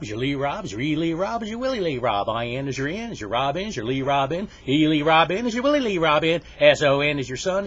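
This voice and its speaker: A man's voice: a little high, fairly bright tone, voice pushed up. A man voicing an eager star-pupil schoolboy, reciting in a rhythmic sing-song chant. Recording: dull, noisy lo-fi recording.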